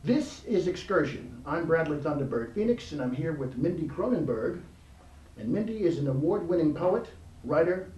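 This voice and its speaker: A man's voice: a little high, darkish tone. A man hosting an interview show, formally reading out his guests' credits in long lists. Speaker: interview host. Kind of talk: formal introductions for a talk show. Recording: echoey room, mic at a distance.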